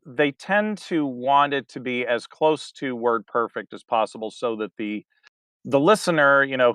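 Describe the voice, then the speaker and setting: A man's voice: medium pitch, a bit bright, no echo. Chatty man with a dry, close sound. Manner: rambling, hesitant with many restarts. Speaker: guest, audiobook narrator. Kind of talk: casual interview chat.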